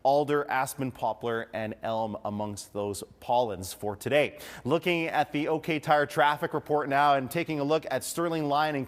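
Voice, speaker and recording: A man presenting weather and traffic in a semi-scripted morning broadcast style. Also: mid-range voice.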